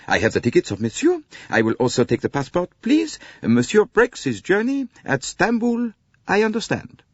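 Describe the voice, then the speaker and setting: A man's voice: high-pitched, huge pitch swings, normal speed, no room sound, dull audio, pitched above the performer's own voice, heavily acted. A man voicing an eager, obsequious French sleeping-car conductor in an audiobook, with a French accent and a fussy, theatrical manner. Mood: brisk, deferential.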